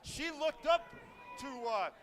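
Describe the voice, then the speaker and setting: An American man giving earnest live wrestling color commentary, often halting and repeating his phrases. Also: extremely high pitch, lively intonation, crowd noise. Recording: loud music behind, live event. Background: loud music at a live wrestling event.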